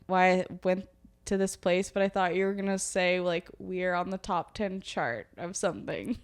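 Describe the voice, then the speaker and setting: A woman's voice: lowish voice, moderate pace. A young woman co-hosting a podcast in casual chat, chatty and self-mocking. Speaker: podcast co-host.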